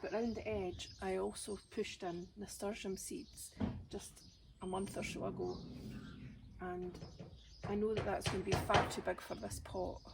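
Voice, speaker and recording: Raspy-voiced woman in her garden. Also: mid-range voice, little pitch movement, a little slow, heavy background noise. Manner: chatty, thinking aloud as she works. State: raspy voice today. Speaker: gardening vlogger. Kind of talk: casual chat while gardening. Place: outdoor garden. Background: birdsong.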